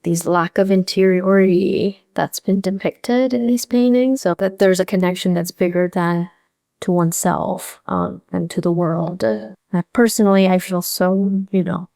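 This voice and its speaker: A woman painter, a native Korean speaker, answering interview questions thoughtfully with many pauses and fillers. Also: lowish voice, gravelly, dark tone, no room sound, studio quality.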